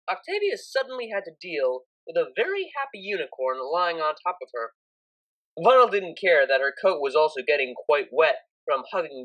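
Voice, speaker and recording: Expressive woman narrator with lively, swooping pitch. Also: low-quality recording. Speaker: audiobook narrator. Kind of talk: expressive scripted story narration.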